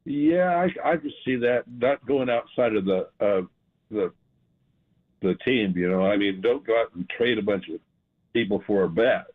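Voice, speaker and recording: An older American man from California, over sixty, calling a radio show by phone and chatting casually in a halting, folksy way. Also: fairly low pitch. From California, USA. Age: 60s or older. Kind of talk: casual chat. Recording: tinny, narrow phone line.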